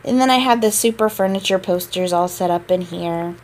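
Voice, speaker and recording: A woman's voice: fairly low pitch, brisk, a little mellow. A woman who just woke up, giving a casual voice-over tour of her game apartment, chatty and drawn out with small laughs.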